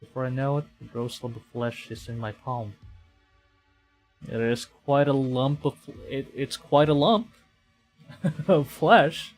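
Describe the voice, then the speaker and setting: A man livestreaming a visual novel, reading it aloud with excitable, dramatic delivery and chatty casual asides. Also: mid-range voice, slow pace, long pauses, poor audio. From Philippines. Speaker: streamer. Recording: music behind the voice. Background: game music.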